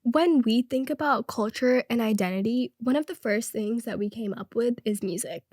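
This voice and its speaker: A woman's voice: a little high, moderate pace, a little mellow, no room sound, studio quality. A teenage girl co-hosting a student podcast, talking earnestly and reflectively in a casual way. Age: teens. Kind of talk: casual conversational podcast chat.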